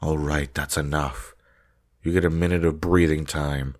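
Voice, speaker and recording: A man's voice: a bass voice, steady tone, a little slow. A man playing a stern, haughty vampire combat master in a roleplay audio drama, formal, blunt and commanding.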